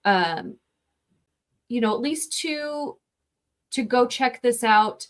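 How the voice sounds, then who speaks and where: A woman film reviewer hosting an interview, chatty and lively, talking in a casual way with lots of fillers. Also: on the high side, slow pace.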